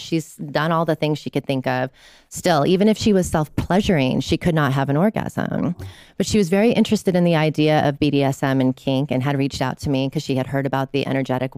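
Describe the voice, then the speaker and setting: Low-voiced woman, smooth and dry. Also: no echo. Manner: animated storyteller, acts out quotes. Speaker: guest, somatic therapist. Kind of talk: conversational interview.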